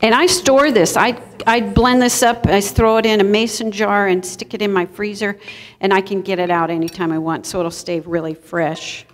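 A woman's voice: medium pitch, steady tone, normal speed, a little hiss or hum. A middle-aged woman giving an informal live cooking demonstration in a conversational, explanatory way. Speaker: cooking class presenter. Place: church kitchen hall, indoors, before an audience. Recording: echoey hall, live event recording.

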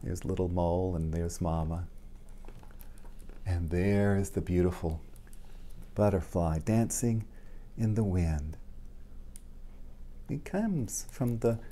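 A middle-aged man reading a children's picture book aloud in a gentle, deliberate manner, explaining as he goes. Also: low voice, slight background noise. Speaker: storytime host, pastor. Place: living room, indoors.

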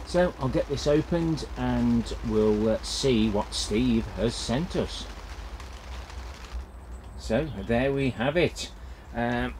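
A man's voice: mid-range voice, slow pace, darkish tone, slight background noise, low-quality recording. A man talking casually through an allotment vlog outdoors, with plenty of "uh" fillers. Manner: plain-spoken, many "uh" fillers. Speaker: allotment gardening vlogger. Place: outdoor allotment, in and around a greenhouse.

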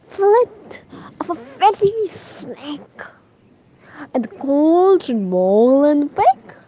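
A young woman playing a witch, reciting a Shakespearean spell in an exaggerated, drawn-out theatrical chant. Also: high-pitched, slight background noise. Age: young adult. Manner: slow, exaggerated, drawn-out incantation. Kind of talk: dramatic Shakespeare monologue recitation.

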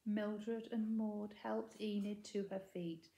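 A woman's voice: mid-range voice, fairly even intonation, slow, vocal fry, mellow. Older woman reading a story, roomy echo. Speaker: storybook reader. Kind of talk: expressive children's story narration. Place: indoors, living room by a window. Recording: echoey room.

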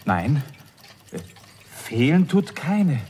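A nervous shopkeeper in a children's audio drama. He has a slightly high voice and stammers in puzzlement, over faint background noise. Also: sing-song, very slow, mellow, exaggerated voice. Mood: perplexed. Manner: stammering, hesitant. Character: nervous, bewildered watchmaker shopkeeper. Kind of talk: children's radio play.

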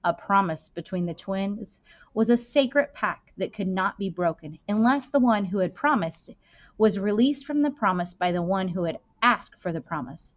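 A woman's voice: medium pitch, expressive, normal speed. Woman reading a novel aloud, dull sound. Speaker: school librarian reading aloud. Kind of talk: expressive children's novel read-aloud. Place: indoor room. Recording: dull, lo-fi webcam-style sound.

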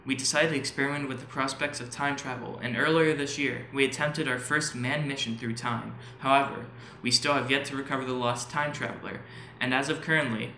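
A man's voice: medium pitch, fairly even intonation, brisk, hardly any pauses, bright, forceful tone. A man playing a polite NASA employee giving a formal presentation in an audio drama. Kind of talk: scripted presentation. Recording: roomy echo, faint background noise.

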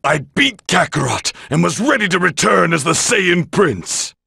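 An actor playing a proud, arrogant alien warrior prince in an anime video game, with a gravelly, taunting delivery. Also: male voice, sits high, big pitch swings, measured pace, pushed and bright, no room sound, full character voice. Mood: smug, intense. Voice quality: gravelly, raspy. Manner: haughty, taunting.

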